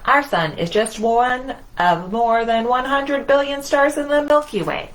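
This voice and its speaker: A woman's voice: a little high, measured pace, low-quality recording. A woman hosting a children's storytime, reading aloud in simple, singsong phrases and putting questions to young listeners. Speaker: children's library storytime host.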